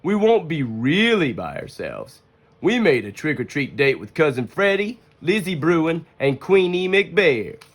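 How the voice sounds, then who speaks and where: A narrator voicing an excitable, confident young boy bear in a children's storybook, boyish and emphatic. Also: mid-range voice, measured pace, voice pushed up. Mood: excited.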